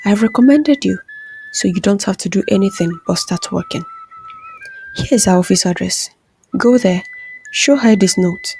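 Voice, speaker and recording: Woman reading a shrewd, mellow lady boss. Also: medium pitch, huge pitch swings, normal speed, pauses often, a little hiss or hum. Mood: persuasive. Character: shrewd woman employer, wife and partner in crime. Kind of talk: narrated story dialogue.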